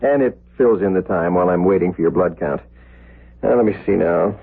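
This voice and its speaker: A thin, phone-like old radio recording carries a young surgical resident. The voice is fairly low and rough-edged. He is eager and a little cocky, with a hesitant, deferential stammer around authority. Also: a little fast, exaggerated voice. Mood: brisk, businesslike. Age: young adult. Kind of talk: 1970s radio drama acting.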